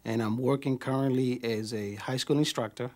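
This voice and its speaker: High school instructor from Puerto Rico with a mid-range male voice. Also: steady tone, moderate pace, a little mellow. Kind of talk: self-introduction.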